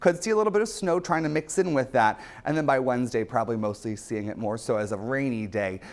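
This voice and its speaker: A man's voice: mid-range voice, fast talker. A man presenting a morning weather forecast on local TV, easygoing and chatty. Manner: easygoing, chatty, repeats "as we go through it". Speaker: meteorologist.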